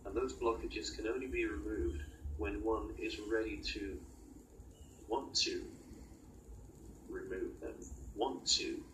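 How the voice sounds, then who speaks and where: A man delivering a spiritual channeling monologue as a guide, in halting, oracular short phrases with many pauses. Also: lowish voice, flat delivery, a bit bright. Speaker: channeled guide speaking in a session. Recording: roomy, distant mic with clear noise.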